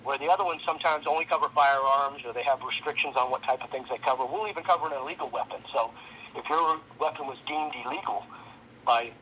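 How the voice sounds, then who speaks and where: A man's voice: medium pitch, fast talker, pushed and bright. A man on a phone call, explaining things conversationally as a program representative. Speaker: legal defense program representative. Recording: phone call with faint background noise.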